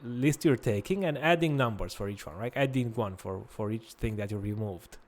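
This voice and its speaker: A man's voice: fairly low pitch, normal speed. Man with romanian accent, thirties, patient teacher, step-by-step coding explanations, headset mic. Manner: patient, explaining step by step, often checking with "right?". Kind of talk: live-streamed coding lesson. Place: small room at a desk.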